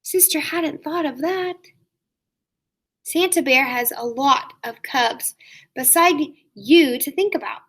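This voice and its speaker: A woman reading a story aloud to young children like a teacher, expressive, with drawn-out emphasis. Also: high voice. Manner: expressive, asks listeners questions, drawn-out emphasis.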